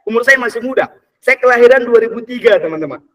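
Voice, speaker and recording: A man in his early twenties giving an energetic motivational talk to an audience, repeating phrases and calling out to the crowd. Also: extremely high pitch, fast, recorded from a distance. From Indonesia. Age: 20s. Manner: energetic, repetitive, calls out "teman-teman" often. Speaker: motivational speaker.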